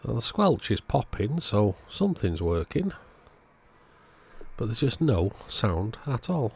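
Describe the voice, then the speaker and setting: A man, host of an electronics repair video, calmly thinking aloud as he methodically works on a radio at his workbench. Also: mid-range voice, takes it slowly, vocal fry, husky. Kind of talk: casual narrated repair walkthrough.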